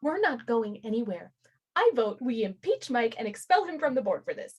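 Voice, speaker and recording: Woman playing confrontational, higher-pitched forest animal. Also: very animated, moderate pace, slightly rough, muffled recording. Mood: hostile. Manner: confrontational, scornful. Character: hostile, aggrieved forest animal challenging the leaders. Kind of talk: staged reading acting.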